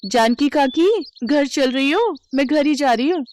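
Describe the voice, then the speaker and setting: A woman's voice: sits high, unhurried, a little mellow, a little hiss or hum, voice pushed up. A woman voicing a caring young female street seller, friendly and respectful, in a storybook tale.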